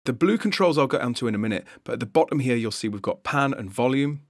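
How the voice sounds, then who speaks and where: A man's voice: medium pitch, lively intonation, fast talker, dry recording. A man giving an explanatory, conversational screencast tutorial narration as an instructor, recorded on a close voice-over mic.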